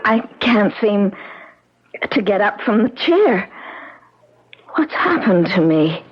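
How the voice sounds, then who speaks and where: An actress playing a bewildered, terrified victim in an old-time radio thriller. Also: female voice, medium pitch, very animated, slow pace, breathy edge, heavily acted. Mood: bewildered, frightened. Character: terrified, bewildered woman, drugged victim of killer. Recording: dull radio recording.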